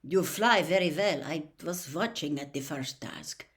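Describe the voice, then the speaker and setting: A woman's voice: low voice, a little slow, a bit bright, pitched below the performer's own voice. Woman voicing a terse, surly young sportsman. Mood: curt, suspicious. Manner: terse, glowering, formal. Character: tall, surly eighteen-year-old male sports star.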